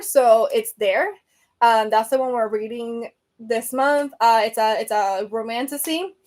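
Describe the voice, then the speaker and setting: A woman's voice: on the high side, darkish tone. A woman from Venezuela hosting a book podcast, chatting casually on a live stream and answering viewer comments, rambling with many fillers and self-corrections.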